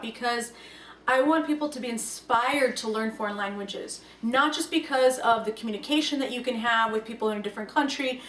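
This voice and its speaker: A woman's voice: fairly high pitch, far from the mic. A young woman whose first language is Russian, giving an earnest and reflective talk to camera. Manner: earnest, reflective, lively emphasis. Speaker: language learning speaker. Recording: echoey room, voice from off the mic, faint room noise.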